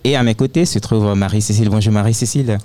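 A male radio host opens a live broadcast in a low, mellow voice. He is friendly but hesitant, and often pauses on fillers.

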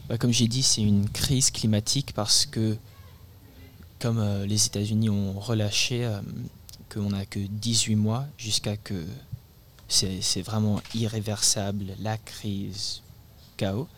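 A man's voice: fairly low pitch, flat delivery, takes it slowly, a little hiss or hum. An eighteen-year-old man, a climate activist guest in a radio interview, speaking earnestly with frequent hesitations. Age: teenager.

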